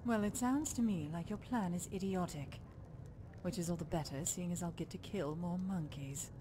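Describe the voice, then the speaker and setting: A woman's voice: fairly low pitch, normal speed, natural voice. A woman voicing a scornful, bloodthirsty creature warrior in a fantasy video game. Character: scornful, bloodthirsty female beast-folk warrior. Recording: clearly noisy background.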